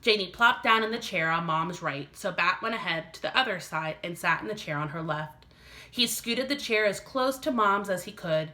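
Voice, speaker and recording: A woman teacher narrating a children's storybook aloud with careful, expressive phrasing. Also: fairly low pitch, normal speed. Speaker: elementary teacher reading aloud.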